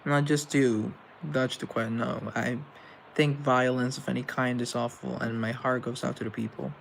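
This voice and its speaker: Young male live host with a gravelly voice, earnest and rambling, over faint noise. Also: mid-range voice, little pitch movement, moderate pace, poor audio. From Colombia. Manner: rambling, earnest, with self-deprecating asides. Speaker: live show host answering chat. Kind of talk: casual live-stream chat, reading comments aloud.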